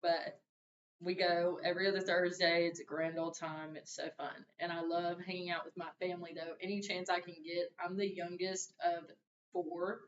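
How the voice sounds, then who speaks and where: Deep-voiced young woman, roomy. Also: normal speed. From Louisiana and Arkansas. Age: young adult. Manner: relaxed, conversational, with laughs. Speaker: student. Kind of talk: class introduction speech. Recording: roomy, distant, dull mic with faint hiss.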